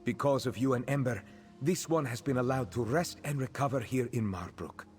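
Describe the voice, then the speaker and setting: A man voicing a gentle cat-folk former captive in a video game, speaking in a measured, formal way and referring to himself in the third person. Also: mid-range voice, faint music behind, natural voice. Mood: fond, calm.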